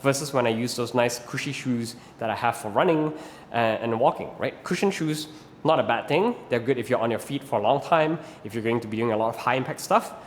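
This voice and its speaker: A man's voice: mid-range voice, brisk, a little hiss or hum. Malaysian man teaching in a reverberant room. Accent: Malaysian English. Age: 30s. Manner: patient, explanatory, often ends points with "right?". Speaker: rope flow instructor. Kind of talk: instructional video talk.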